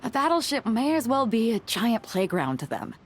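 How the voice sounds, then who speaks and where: A woman's voice: a little high, very animated, normal speed, a little hiss or hum. A woman playing a breezy, confident battleship captain with a teasing, informal manner in a video game. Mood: friendly, casual. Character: breezy, confident young female battleship captain hawking weapons. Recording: music behind the voice.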